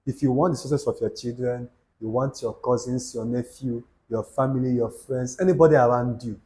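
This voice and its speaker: Igbo-accented Nigerian man, mellow preachy voice. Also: mid-range voice, steady tone, brisk. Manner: preachy, repeats himself, Pidgin asides. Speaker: YouTube channel host. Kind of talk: motivational talk to camera.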